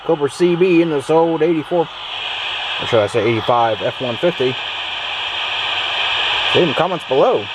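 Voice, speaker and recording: An American man casually talking through a demonstration in an unhurried, rambling way, recorded on a handheld camera inside a pickup truck. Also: fairly high pitch, expressive. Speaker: vlogger demonstrating an old CB radio. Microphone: handheld camera, speaker off screen. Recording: very noisy, radio static and vehicle ambience.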